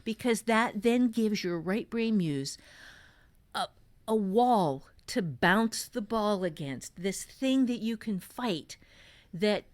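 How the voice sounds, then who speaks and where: A woman's voice: mid-range voice, animated, takes it slowly, no echo. A woman novelist chatting casually as she gives blunt, emphatic, sometimes sweary advice on writing fiction. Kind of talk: casual podcast conversation giving writing advice.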